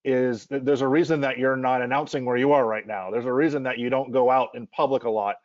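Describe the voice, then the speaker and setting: A man hosting a relaxed interview over a video call, asking questions loosely and restarting often. His sound is dry and close, with a dull, lo-fi quality. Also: medium pitch, fast, no room sound. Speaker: host. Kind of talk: casual interview.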